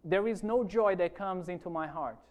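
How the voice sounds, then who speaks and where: Pastor, male, Brazilian accent, earnest preaching to a congregation; high and smooth. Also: normal speed, lo-fi sound. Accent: Brazilian Portuguese. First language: Portuguese. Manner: earnest, addresses congregation with frequent "amen?". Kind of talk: sermon testimony. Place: church.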